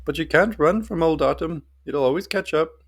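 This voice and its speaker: A man's voice: fairly high pitch, normal speed, slightly rough, voice pushed up. A man voicing a tiny, wrinkled old goblin woman, sly, folksy and knowing, in a fantasy story. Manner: folksy, teasing and cryptic.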